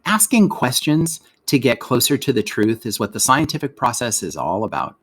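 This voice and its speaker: A man reads a children's educational text aloud in the role of a teacher. His intonation is lively and varied, rising and falling freely. He explains as he goes, leaning on important words to guide young listeners. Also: medium pitch, moderate pace, husky. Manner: explanatory, poses rhetorical questions, stresses key words. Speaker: teacher reading a lesson aloud. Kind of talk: educational read-aloud of scripted narration for children.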